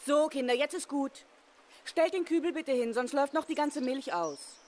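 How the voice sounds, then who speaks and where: A high-voiced actress as a kindly rural mother in a radio play. She is busy and briskly motherly, with faint outdoor noise around her. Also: a little mellow, lightly acted. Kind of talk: audio drama dialogue.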